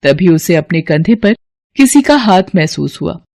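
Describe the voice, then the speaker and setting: A woman giving expressive scripted narration of a children's moral story. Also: fairly low pitch, measured pace, creaky.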